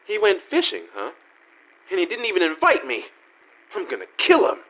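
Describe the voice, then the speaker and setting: A man acts a bewildered, indignant homecomer who has lost his grip, in a melodrama spoof for radio. His voice sits fairly high and moves around a lot, earnest and overwrought, with a dull lo-fi sound. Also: huge pitch swings, normal speed, a bit bright, heavily acted. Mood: dramatic, bewildered. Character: deluded, bewildered man returning home, indignant. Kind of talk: deadpan melodrama parody radio acting.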